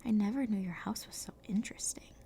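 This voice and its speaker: Storybook schoolgirl, curious and forthright, whispered; woman reader doing her, mellow tone. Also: mid-range voice, fast, vocal fry, natural voice. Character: curious, outspoken young schoolgirl.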